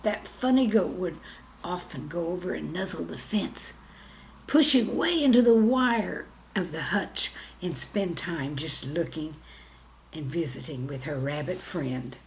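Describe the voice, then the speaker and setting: A woman's voice: fairly low pitch, animated, measured pace, darkish tone, slight background noise. A woman reading a children's story in a simple, friendly way, as if speaking directly to young listeners. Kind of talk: gentle scripted storytelling for children.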